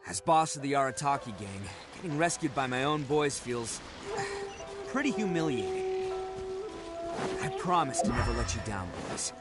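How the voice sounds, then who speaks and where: An actor playing a brash, big-hearted young oni gang boss in a video game, boisterous, slangy and cocky, with music behind. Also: male voice, medium pitch, huge pitch swings, normal speed. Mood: sheepish.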